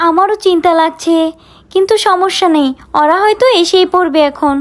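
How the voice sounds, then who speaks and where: A woman's voice: very high voice, brisk, voice pushed down. A woman voicing a chatty, fretful village mother doll in a children's story, in a conversational manner. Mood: worried.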